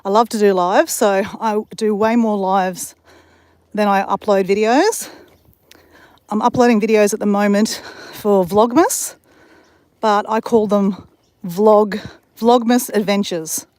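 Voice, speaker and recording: A woman's voice: medium pitch, steady tone, takes it slowly, darkish tone, dry recording. Aussie lady vlogging on a walk, chatty and rambly, lots of ums. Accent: Australian. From Australia. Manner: chatty, rambling with fillers and restarts. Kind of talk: casual walking vlog chat. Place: outdoors on a walk.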